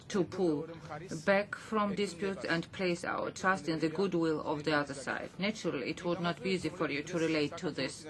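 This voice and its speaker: Interpreter, simultaneous interpretation, halting with fillers, middle pitch, audience murmur in assembly chamber. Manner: hesitant, with frequent fillers and restarts.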